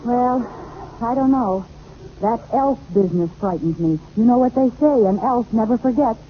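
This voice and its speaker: Sarcastic housewife played by an actress, animated swoops, wry wisecracks, vintage radio sitcom, very noisy recording. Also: female voice, a little high, moderate pace, phone-quality sound, natural voice. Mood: uneasy. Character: wisecracking, skeptical suburban wife. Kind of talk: 1940s radio comedy acting.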